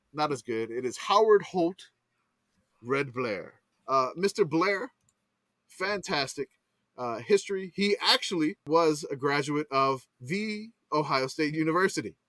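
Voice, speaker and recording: A man's voice: a little high, animated, takes it slowly, a bit bright, no room sound. A man from Louisiana with a Louisiana accent, rambling casually through sports history on a podcast and laughing at himself. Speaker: podcast co-host. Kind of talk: casual chat.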